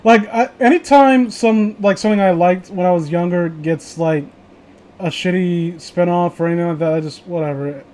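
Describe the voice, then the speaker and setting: Higher-pitched man in rambling casual chat. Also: normal speed, darkish tone. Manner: profane, blunt, opinionated. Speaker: host of a casual group chat.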